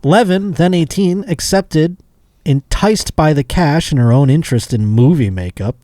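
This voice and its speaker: A man's voice: a little high, lively intonation, moderate pace, warm tone, dry recording, studio quality. A man hosting a casual comedy podcast, reading news stories aloud and riffing on them with sardonic, profane asides. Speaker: podcast host.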